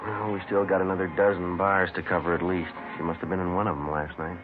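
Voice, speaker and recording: An actor playing a calm police sergeant in a 1950s radio crime drama, clipped and matter-of-fact, both narrating and questioning. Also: male voice, low voice, fast, lightly acted. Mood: businesslike. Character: calm, businesslike male police sergeant who narrates the case. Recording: tinny vintage radio, music underneath.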